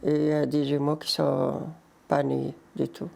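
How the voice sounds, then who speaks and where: An older Berber-speaking woman from Morocco and Algeria with a North African accent, telling her life story in halting French mixed with Arabic. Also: deep voice, monotone, gravelly, darkish tone. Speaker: interviewee, grandmother. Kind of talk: casual life-story interview.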